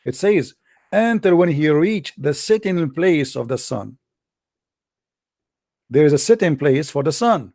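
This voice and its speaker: A man whose first language is Arabic, hosting a call-in debate, arguing insistently and slowing down to read scripture aloud. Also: on the high side, expressive, dark tone, no echo, studio quality. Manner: insistent, argumentative, repeats "no, no". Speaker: host.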